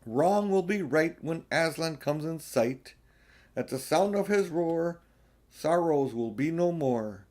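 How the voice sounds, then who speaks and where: A man's voice: on the high side, expressive, takes it slowly, darkish tone, pitched above the performer's own voice. A man voicing a male talking beaver in a storybook, an earnest, solemn woodland creature with a folksy, emphatic manner. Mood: reverent, assured. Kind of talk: storybook character voice.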